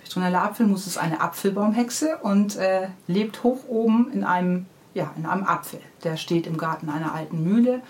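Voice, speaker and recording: A woman's voice: lowish voice, brisk, hardly any pauses. A middle-aged woman, an author being interviewed, explaining her stories in a friendly, chatty and detailed way. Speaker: interviewed children's book author. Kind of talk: casual interview, explaining and storytelling. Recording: clearly audible background noise.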